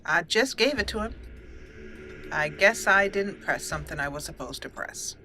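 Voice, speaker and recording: A woman's voice: medium pitch, lively intonation, moderate pace, pushed and bright, a little hiss or hum. A woman giving casual let's play commentary, chatty and giggly, explaining things step by step as she plays. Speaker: gaming channel host.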